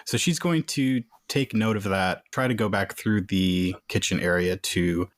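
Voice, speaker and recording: A man's voice: sits low, unhurried. A man talking casually as a player in a tabletop roleplaying game.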